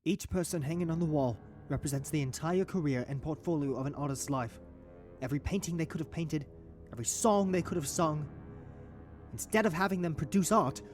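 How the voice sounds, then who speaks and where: Casting: commanding, excitable ringleader for comedy audio drama, acted, widely swinging pitch, faint music behind. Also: lightly acted. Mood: matter-of-fact. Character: bossy, impatient, obsessive leader of an eccentric gang.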